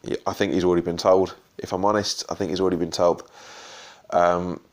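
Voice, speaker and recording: A man with a slightly croaky voice giving a rambling, casual post-match reaction as a football fan vlogger. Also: low-pitched, little pitch movement, a little fast. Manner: rambling, full of ums and restarts. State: slightly croaky from shouting and drinks.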